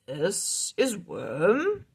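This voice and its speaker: Kindly wizard for a storybook, read by a woman: unhurried, assured, slightly husky. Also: medium pitch, huge pitch swings, darkish tone, exaggerated voice. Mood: proud. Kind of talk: children's storybook read-aloud.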